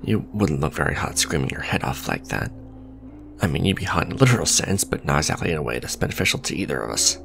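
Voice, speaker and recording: Man acting as supervillain, romantic ASMR roleplay, extremely low pitch, music behind, blunt, earnest, awkwardly businesslike. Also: expressive, fast, creaky, exaggerated voice. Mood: reassuring, casual. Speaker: voice actor.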